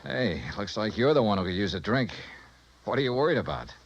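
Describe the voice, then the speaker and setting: An actor playing a wisecracking freelance insurance investigator in a 1950s radio drama, talking in a dry, joking way. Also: male voice, lowish voice, lively intonation, a little fast, no echo, lo-fi sound. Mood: wry. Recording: vintage radio drama with music underneath.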